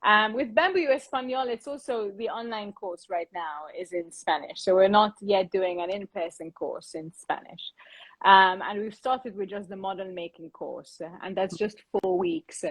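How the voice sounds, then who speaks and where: A woman, co-founder of a bamboo architecture school, explaining plans in a relaxed interview. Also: mid-range voice, normal speed, no room sound, rough recording. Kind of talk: casual interview talk.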